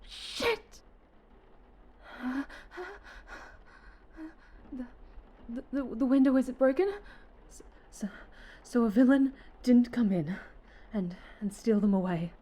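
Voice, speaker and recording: A woman playing a sinister, obsessive, possessive female superhero in an ASMR roleplay, halting and menacing, often dropping into whispers. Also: on the high side, animated, warm tone. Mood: panicked, frantic.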